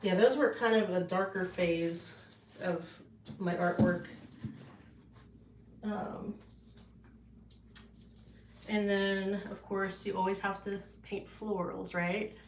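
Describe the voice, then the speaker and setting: A woman's voice: mid-range voice, fairly even intonation, slow pace, long pauses, echoey room, slight background noise. A woman artist casually showing her paintings on a livestream, rambling with many fillers and restarts, in her home art studio.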